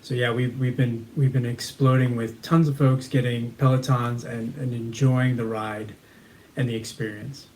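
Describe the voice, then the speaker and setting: Male interview guest, confident and earnest, mid pitch. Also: little pitch movement, moderate pace, a little mellow, a little hiss or hum, rough recording. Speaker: guest, community page founder. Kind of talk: casual interview.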